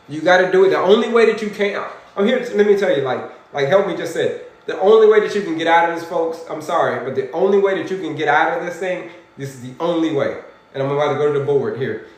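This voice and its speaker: Man, 40s, livestream health coach; animated, preachy, repeats himself; slightly high pitch; very reverberant room. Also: a little fast. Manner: animated, repetitive, preachy, talks to viewers as folks. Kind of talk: energetic motivational livestream, reading chat comments. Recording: very echoey room.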